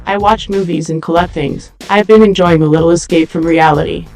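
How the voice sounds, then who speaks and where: High-pitched guarded android woman acted by a man. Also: steady tone, normal speed, a little mellow, close to an everyday voice. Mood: guarded, flat. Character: guarded young female humanoid android, world-weary survivor. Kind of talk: audio drama dialogue. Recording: music bed behind voice.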